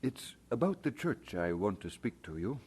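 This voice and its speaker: Radio-drama pastor and husband: mid-pitched, slightly rough voice, lively intonation, sincere and warm. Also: male voice, moderate pace. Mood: earnest, concerned. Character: Peter, earnest, warm Scottish-born pastor and husband.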